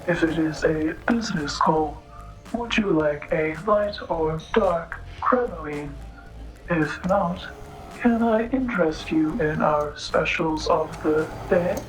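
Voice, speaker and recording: Slow, formal android bartender. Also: female voice, low-pitched, faint music behind, low-quality recording. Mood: politely robotic. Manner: formal, precise, literal, halting robotic phrasing. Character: polite, sly female android bartender, coolly literal and formal. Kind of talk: noir audio drama acting.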